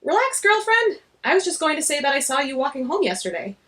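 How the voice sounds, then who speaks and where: A woman voicing a friendly, teasing, energetic teenage girl in a graphic novel read-aloud, chatty and emphatic. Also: high-pitched, fast, pitched above the performer's own voice. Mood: breezy, reassuring.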